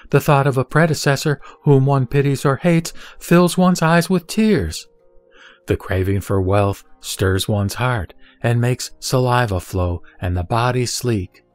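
Man voicing solemn Buddha, measured teacher. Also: mid-range voice, no room sound, lightly acted. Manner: measured, formal, solemn reciting. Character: the Buddha, serene male teacher instructing a disciple. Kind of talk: scripted scripture audio drama, recited teaching.

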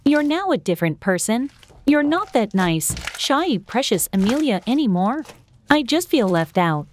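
A woman acts out an earnest, insecure girl gamer from an online storytime. Her voice is on the high side and swings expressively up and down. She comes across as friendly but easily hurt. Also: sing-song, normal speed, slight background noise. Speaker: voice actor in an animated storytime drama. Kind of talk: scripted amateur roleplay dialogue.

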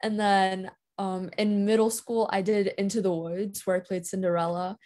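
A teenage girl answering interview questions over a video call, soft-spoken and hesitant, with frequent ums. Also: mid-range voice, level tone, normal speed. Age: teens. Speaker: guest. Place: indoors at home.

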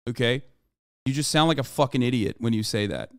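Man, thirties, mid-pitched voice, emphatic lecturing, swears freely, livestream commentary, close dry mic. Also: a little fast, clear, resonant tone, no room sound. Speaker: political livestreamer. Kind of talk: livestream political commentary, reacting to chat. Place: small room at home, indoors. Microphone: close desk microphone.

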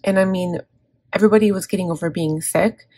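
A middle-aged woman with a scratchy, gravelly throat, chatting casually and candidly through her planner, rambling and correcting herself. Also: on the low side, quick pace, dark tone, no echo. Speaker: planner vlogger. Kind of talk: casual plan-with-me chat. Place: indoors at a desk.

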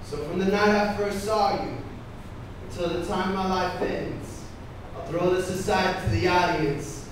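High-pitched man performing spoken word, very echoey room. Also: fairly even intonation, measured pace, far from the mic. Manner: impassioned, emphatic, rhythmic delivery. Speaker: slam poet. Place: indoor poetry slam venue. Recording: echoey room from afar, faint noise.

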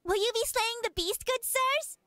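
Little tavern girl from a game, super high n bright, eager, dramatic. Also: normal speed, no room sound. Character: excitable, sweet little innkeeper's daughter. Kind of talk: video game character acting.

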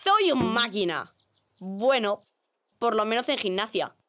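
A woman's voice: a little high, lively intonation, unhurried, fairly bright tone, slight background noise. A woman voicing an unathletic little boy in a children's cartoon, whiny or boastful. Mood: proud. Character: unathletic little boy, cocky yet easily defeated.

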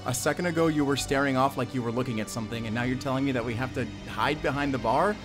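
A man's voice: mid-range voice, quick pace, over music, lightly acted. A man playing a friendly, playful young father in an intimate audio roleplay, chatting with bashful chuckles. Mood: worried. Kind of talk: intimate audio roleplay acting, addressing the listener.

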